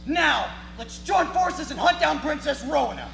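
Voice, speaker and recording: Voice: high voice, animated, moderate pace, creaky, background noise, full character voice. A performer playing a pompous, melodramatic adventuring prince in a grandiose, declamatory stage manner. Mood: rallying. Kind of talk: stage readers theatre. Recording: echoey hall, music over voices.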